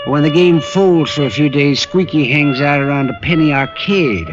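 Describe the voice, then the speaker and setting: An actor playing a mean, whining underworld informer in an old-time radio drama, grumbling in streetwise slang. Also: male voice, on the high side, normal speed, lightly acted. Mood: complaining. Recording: muffled old radio recording, music behind.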